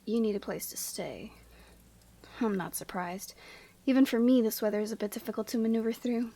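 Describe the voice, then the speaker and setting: A woman playing a proud, commanding yeti woman in a scripted ASMR roleplay, speaking in an imperious, measured and formal way. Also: on the high side, warm tone. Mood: aloof, intrigued.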